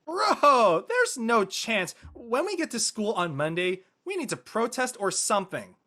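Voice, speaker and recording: Casting: male actor as high school senior boy, lovelorn and defensive, joking and slangy, swinging pitch, for an audio drama. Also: sing-song, unhurried, sharp tone, exaggerated voice. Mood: alarmed. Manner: casual slangy teen talk. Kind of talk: live audio drama table read.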